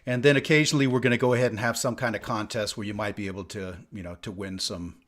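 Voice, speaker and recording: A man's voice: fairly low pitch, fairly even intonation, quick pace, a bit bright. A man co-hosting a podcast in casual, rambling banter, often repeating himself as he goes. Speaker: podcast co-host.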